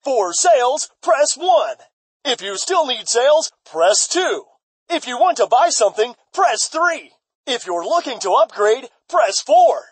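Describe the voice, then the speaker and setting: A woman voicing an automated phone menu system in an audio comedy, brisk, indifferent and formulaic. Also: high voice, sing-song, slightly rough, dry recording, exaggerated voice.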